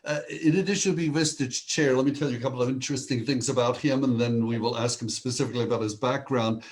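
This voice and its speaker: A man's voice: mid-range voice, steady tone, fast talker. A man hosting a video call, introducing guests with eager praise and asking questions. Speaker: host.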